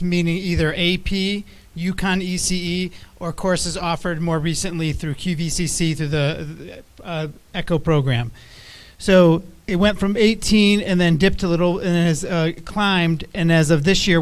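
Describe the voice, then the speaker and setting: A man with a loud, clear and smooth voice, a little high, presents slides at a public school board meeting. His delivery is casual and plainspoken.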